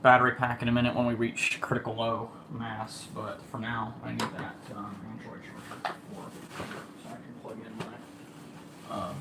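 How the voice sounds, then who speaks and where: A man chatting casually to livestream viewers as an amateur storm spotter, speaking haltingly with trailing pauses, on phone audio. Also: mid-range voice, monotone, heavy background noise. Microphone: phone, held or propped nearby.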